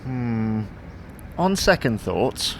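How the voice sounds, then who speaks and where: A man playing a hapless, deadpan British husband. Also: medium pitch, drawn-out words, creaky, slight background noise, exaggerated voice. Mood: grumbling. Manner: mild, deadpan, put-upon. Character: hapless middle-aged husband, mild, grumbling, wryly deadpan. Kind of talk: domestic audio sitcom acting.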